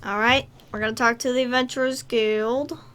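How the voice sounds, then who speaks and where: Gamer woman chatting over a game, kinda high voice, informal and jokey, bit of background noise. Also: fairly even intonation, moderate pace, fairly bright tone. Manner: informal, joking. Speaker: gaming streamer. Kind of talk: casual let's play commentary.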